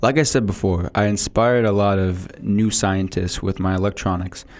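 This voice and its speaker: A man playing a proud inventor, deep voice. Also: fairly even intonation, normal speed, close to an everyday voice. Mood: matter-of-fact. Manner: reading a prepared script aloud. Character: boastful male inventor of the 1800s. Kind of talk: school podcast role-play.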